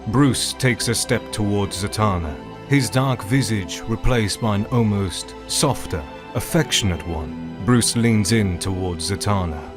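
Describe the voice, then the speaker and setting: A man's voice: fairly low pitch, measured pace, hardly any pauses, music in the background, close to an everyday voice. A man giving scripted narration for an audio drama as its narrator.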